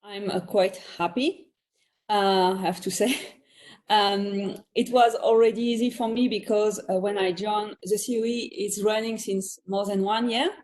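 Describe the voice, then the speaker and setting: A woman's voice: mid-range voice, steady tone, a little slow, darkish tone. Chatty woman with a French accent. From France. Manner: chatty, laughs often, many fillers. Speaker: panel guest. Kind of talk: virtual panel discussion. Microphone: video call.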